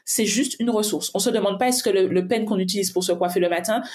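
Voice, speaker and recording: A woman's voice: mid-range voice, quick pace, darkish tone. A woman guest in a casual conversation, a finance coach who talks pragmatically and thinks aloud with frequent restarts. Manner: reflective, pragmatic, many restarts and fillers while thinking aloud.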